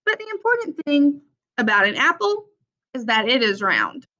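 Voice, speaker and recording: A young woman reads a children's picture book aloud in a fairly high, very bright voice. She takes it slowly, with simple, deliberate phrasing. The recording sounds a little dull and lo-fi. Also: sing-song. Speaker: read-aloud presenter for children.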